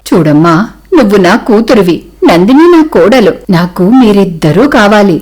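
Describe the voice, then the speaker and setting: A woman voicing a gentle, trusting older mother who reasons calmly and patiently in a cartoon moral story. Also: mid-range voice, moderate pace, pitched below the performer's own voice, lightly acted. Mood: gently admonishing. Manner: calm, reasoning, reassuring.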